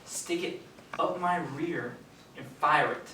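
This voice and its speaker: A man acting a meek, earnest junior writer in a stage play, slightly high in pitch and hesitant. The sound is distant, with clear noise around him. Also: slow, echoey room. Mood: anxious, aggrieved. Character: meek, earnest junior male studio writer, easily intimidated.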